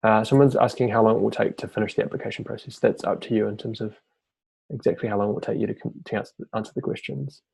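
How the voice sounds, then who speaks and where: A man hosts a live online Q&A. He reads each audience question aloud, then answers in a loose, conversational way. His voice is low, mellow and fry-tinged, close and dry on a computer mic. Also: steady tone, fast talker, no echo. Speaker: fellowship team member hosting a webinar Q&A.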